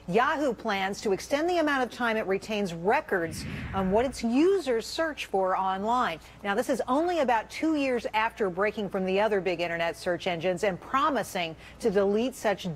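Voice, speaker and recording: A woman's voice: mid-range voice, animated, normal speed, few pauses, slight background noise. An American woman hosting a television news panel in a conversational broadcast interviewing style.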